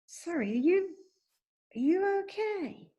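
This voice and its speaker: A woman's voice: very high-pitched, animated, takes it slowly, dark tone, exaggerated voice. A woman playing a nervous, scared man of forty with a tentative delivery in a scripted drama read over a video call. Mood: timid, worried.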